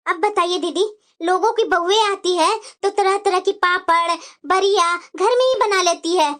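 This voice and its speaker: A woman's voice: extremely high pitch, a little slow, fairly bright tone. A woman voicing a bitter, domineering middle-aged mother-in-law who grumbles and scolds dramatically in a family cartoon. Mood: grumbling, aggrieved. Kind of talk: Hindi family cartoon dialogue.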